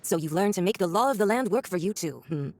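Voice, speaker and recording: Haughty, quick-talking villainess. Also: female voice, fairly low pitch, expressive, a little mellow. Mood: smug menace. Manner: condescending, theatrical, sneering. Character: haughty, mocking villainous woman diplomat with lethal power. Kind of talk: video game voice acting.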